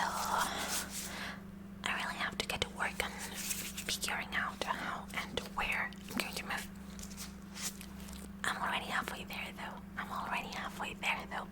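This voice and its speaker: A woman's voice: lightly acted, rough recording. Woman playing a whispering, kooky science club girl. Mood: earnest, eager. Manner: rambling, halting, nerdy, addressing the listener directly. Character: kooky eager female high school science club president. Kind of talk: whispered ASMR roleplay.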